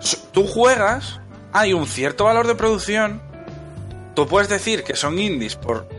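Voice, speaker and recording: A young man from co-hosting a casual video game chat, animated and pausing to search for words. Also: high-pitched, soft background music. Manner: animated, hesitant mid-sentence searching for words. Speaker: podcast co-host.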